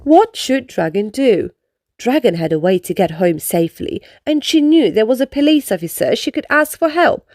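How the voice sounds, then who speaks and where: A woman's voice: fairly high pitch, sing-song, normal speed, darkish tone, dry recording, clean studio sound. A woman reading a children's storybook aloud, telling it expressively and explaining its lessons to young listeners. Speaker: children's book reader. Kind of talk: read-aloud storybook narration.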